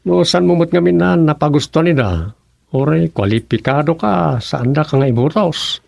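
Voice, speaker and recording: A man plays a grumbling small-town political hopeful in a radio serial. His voice is creaky and a little high, recorded close and dry. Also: lively intonation, moderate pace, a little mellow, dry recording, exaggerated voice. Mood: complaining. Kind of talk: radio serial comedy drama dialogue in Ilocano.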